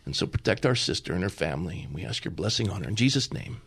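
A man's voice: low voice, expressive, fast, vocal fry, fairly bright tone, slightly rough. Man, middle-aged, talk radio host, fervent, pastoral, repeats words in bursts.

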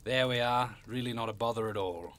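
A man's voice: mid-range voice, brisk, background noise, natural voice. A man playing a proud, refined old monster in an audio drama, speaking formally and with condescension. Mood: courteous. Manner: formal, condescending, stern when offended, savours words. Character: proud, refined old cryptid creature, macabre bone-carving artist.

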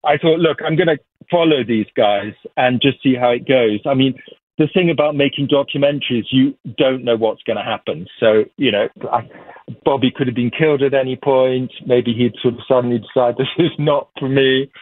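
A man's voice: medium pitch, steady tone, normal speed, no echo. A man, a documentary director who grew up in Uganda, telling his story in loose, chatty interview answers. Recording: tinny phone line.

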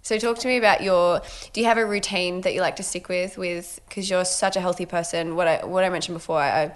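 Supportive lower-voiced woman host. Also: steady tone. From England. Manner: supportive, quick affirmations, laughs often. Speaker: podcast host. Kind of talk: casual chat.

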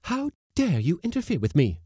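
A fierce, hot-tempered woman cook from a seafaring storybook, acted out by a man. The voice is pushed well up high and swings widely as it scolds and hectors with loud indignation. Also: wildly swooping pitch, normal speed, breathy edge, no echo, clean studio sound, full character voice. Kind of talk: storybook character voice in audiobook.

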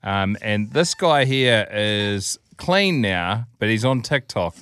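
A man's voice: fairly low pitch, lively intonation, slow pace, a bit bright, clear, resonant tone, no echo. A New man co-hosting a podcast, laid-back and jokey, swearing casually. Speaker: podcast co-host. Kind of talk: casual chat.

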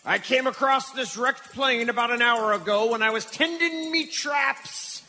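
An actor playing a crotchety old backwoods trapper, folksy and exclamatory, in a comic radio play. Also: male voice, very high-pitched, a little slow, pushed and bright, exaggerated voice. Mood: grumbling. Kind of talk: comic radio theatre acting. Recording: live hall reverb, faint noise.

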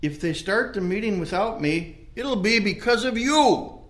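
An elderly, confused professor played by a male actor in an audio drama. He talks slowly and urgently in a bright, fairly high voice, with indignant insistence. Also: lively intonation. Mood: agitated. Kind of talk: audio play acting.

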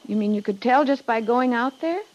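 An actress playing a polite, curious young niece of an army major in a 1950s radio western. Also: female voice, fairly high pitch, fairly quick, darkish tone, natural voice. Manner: genteel, inquisitive. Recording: dull lo-fi 1950s radio recording.

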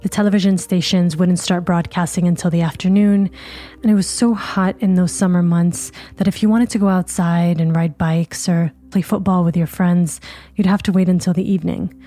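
A woman hosting a podcast, reading scripted narration in a measured, even way. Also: fairly low pitch. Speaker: podcast host.

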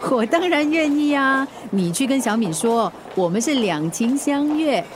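An actress playing a supportive, generous friend in a radio drama, friendly and encouraging. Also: female voice, sits high, animated, a little slow, background noise. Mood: caring, upbeat. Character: supportive, generous woman friend of a young family.

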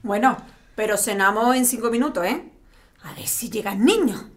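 An actress playing a brisk, bossy mother, nagging colloquially in an audio drama. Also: a little high, natural voice.

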